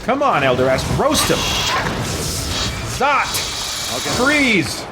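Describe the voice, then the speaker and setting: A man's voice: sits high, sing-song, very slow, over loud music. A man streaming a game with casual, informal commentary, thinking aloud and chatting with his viewers in a rambling way. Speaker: game streamer and YouTuber, host. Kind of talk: casual livestream commentary while gaming, reading and answering chat.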